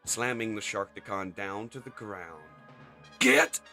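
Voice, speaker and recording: A man's voice: lowish voice, moderate pace, over music. A man narrating a comic as scripted drama in a theatrical, emphatic way.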